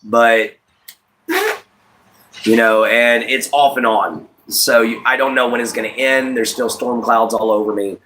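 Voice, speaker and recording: A man's voice: mid-range voice, steady tone, unhurried, noisy background. An American man in his late thirties hosting a casual livestream, giving rambling, repetitive opinions and addressing listeners directly. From United States. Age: late 30s. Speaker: livestream host.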